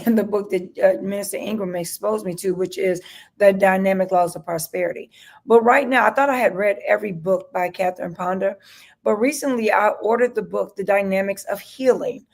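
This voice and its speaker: Gravelly-voiced woman, earnest guest. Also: medium pitch, moderate pace, darkish tone. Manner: earnest, enthusiastic, often ends points with "right?". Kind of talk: casual interview chat.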